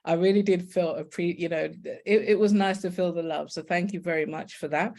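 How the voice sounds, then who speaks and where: A woman's voice: on the low side, steady tone, a little fast, darkish tone. A British woman teaching as a guest, chatting informally about her own story and often addressing her listeners directly. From United Kingdom. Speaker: guest e-commerce educator. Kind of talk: informal personal storytelling to an online audience.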